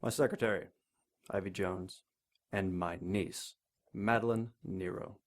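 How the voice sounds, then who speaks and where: A man's voice: deep voice, steady tone, measured pace. A man playing an arrogant, wealthy older industrialist in an audio drama, clipped and imperious. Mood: haughty. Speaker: client.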